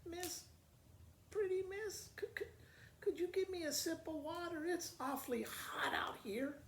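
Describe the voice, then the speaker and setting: A woman voicing a kind, gentle little old farm woman in a storybook, coaxing and pleading. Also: extremely high pitch, measured pace, pitched above the performer's own voice. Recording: roomy echo with faint background noise.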